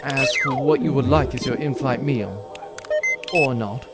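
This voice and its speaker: A man playing a dutiful, deferential starship officer with a measured manner in a sci-fi audio drama. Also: medium pitch, lightly acted. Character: deferential male starship officer serving his lady captain. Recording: music behind the voice.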